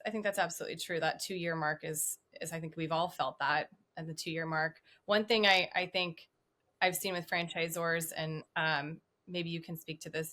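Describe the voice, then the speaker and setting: A woman with a slightly low voice speaks on a business panel. She is thoughtful and raises her points as open questions. Her speech has a few restarts. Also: fairly bright tone. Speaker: franchise owner panelist. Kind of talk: panel discussion.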